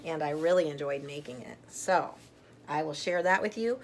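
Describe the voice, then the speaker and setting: A middle-aged woman reading a picture book aloud to children, gently and deliberately, with chatty asides. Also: low voice, a little hiss or hum.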